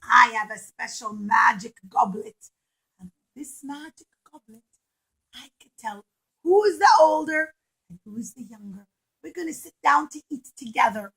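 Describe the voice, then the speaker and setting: A woman voicing a theatrical, scheming young nobleman who makes emphatic, drawn-out declarations in a children's Bible story. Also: fairly high pitch, animated, a bit bright, breathy edge, heavily acted, rough recording. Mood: boastful. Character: commanding young nobleman testing his brothers, theatrical, scheming.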